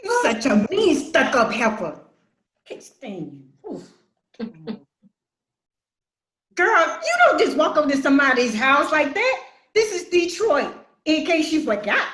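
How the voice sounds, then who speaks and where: A brash, defensive woman in a family stage drama , acted by a woman. Her voice is high and swings widely as she sasses and snaps in slang. It is recorded in an echoey room. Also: sing-song, slow pace, long pauses, fairly bright tone, exaggerated voice. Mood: bitter, offended. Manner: sassy, combative, slangy. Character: brash, defensive, resentful grown sister from Detroit, quick to snap. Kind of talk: dramatic play reading.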